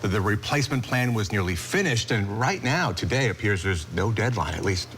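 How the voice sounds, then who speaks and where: A man's voice: fairly low pitch, animated, brisk, fairly bright tone, soft background music. A man with an American accent delivering a news report as a TV reporter. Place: TV studio.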